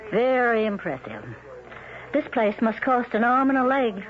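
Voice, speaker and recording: An actress playing a curious, skeptical but polite wife in an old-time radio drama, with a slightly husky voice. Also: female voice, a little high, big pitch swings, unhurried. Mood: admiring. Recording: muffled old radio recording.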